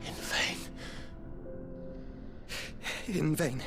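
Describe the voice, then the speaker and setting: Over swelling music comes a gentle young fantasy hero close to death. He speaks slowly and solemnly, his breath weak and often sinking toward a whisper. His manner is noble and resolute, measured but halting, in the style of a dramatic video game cutscene. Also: on the high side, gravelly. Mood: earnest, solemn. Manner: halting, weakened, earnest, measured. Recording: orchestral music under the voice.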